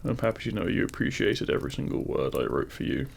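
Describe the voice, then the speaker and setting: Demon king romantic lead, male actor: very heavy vocal fry, tender and teasing, courtly wording, intimate ASMR roleplay delivery. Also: fairly low pitch, very animated, quick pace, exaggerated voice. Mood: fond, amused. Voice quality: gravelly. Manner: tender, formal, courtly phrasing.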